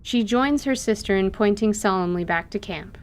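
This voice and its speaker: A woman's voice: mid-range voice, moderate pace. A woman reading scripted story narration for an audio drama.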